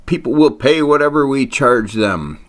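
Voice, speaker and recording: A man's voice: medium pitch, animated, normal speed, a bit bright, voice pushed up, close to an everyday voice. A man voicing a greedy, scornful heir to a family company in a story, with a squealing, gloating delivery.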